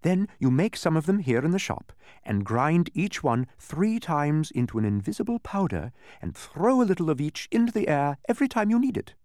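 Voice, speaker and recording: A man acts out a proud, stubborn storybook lady in a high, swooping voice. She is imperious and impatient, instructing as if she owns the place. The sound is dry and close. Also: sing-song, moderate pace, darkish tone, no room sound. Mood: instructive, proud. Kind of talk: storybook character voice.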